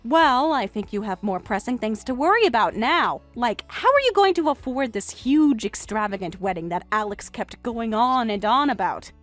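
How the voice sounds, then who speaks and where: A woman's voice: on the high side, lively intonation, moderate pace, few pauses, soft background music, lightly acted. A woman voicing a mocking, self-assured jilted young woman in a melodramatic story, sarcastic and condescending. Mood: taunting. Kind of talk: melodramatic narrated story dialogue.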